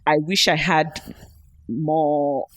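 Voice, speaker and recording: A woman speaking as a podcast guest, reflective and quick to laugh, with frequent restarts. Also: low voice, fairly even intonation, dry recording, lo-fi sound. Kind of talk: casual interview talk.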